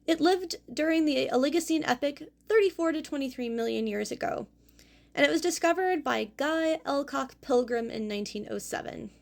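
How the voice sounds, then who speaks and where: Woman, young adult, teacher, gravelly fry, clear explanatory pace, careful with hard names. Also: high-pitched, lively intonation, moderate pace. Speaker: paleontology teacher and host. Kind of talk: educational fossil presentation.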